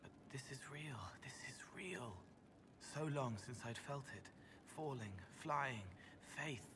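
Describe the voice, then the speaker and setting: A man voicing a gentle, otherworldly young male spirit in a video game, speaking in fragmented, poetic, halting phrases. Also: medium pitch, noisy background, close to an everyday voice. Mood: haunted, murmuring. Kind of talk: dramatic video game voice acting.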